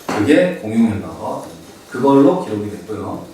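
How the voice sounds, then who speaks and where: A man's voice: lowish voice, big pitch swings, moderate pace, dark tone, heavy reverb, recorded from a distance, a little hiss or hum, poor audio. A middle-aged man teaching a Bible class in a conversational lecturing manner with fillers and asides. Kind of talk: lecture on biblical background.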